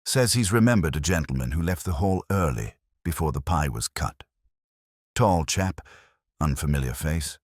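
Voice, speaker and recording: A man's voice: extremely low pitch, expressive, normal speed, no room sound, clean studio sound. A man playing a dutiful police sergeant with clipped reporting speech in a storybook mystery. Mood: businesslike.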